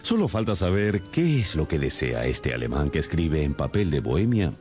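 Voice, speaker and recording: Deep, swooping, pompous detective. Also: male voice, sing-song, normal speed, a little mellow, faint music behind. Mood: probing, deductive. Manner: theatrical, didactic, pompous. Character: brilliant, condescending male consulting detective. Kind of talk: radio drama acting.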